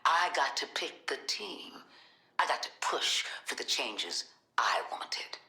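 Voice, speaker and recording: Middle-aged female director, video game, authoritative, coolly manipulative, sharp tone, echoing room. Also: rumbling low, big pitch swings, creaky, heavily acted. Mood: hard, self-assured. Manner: measured, authoritative.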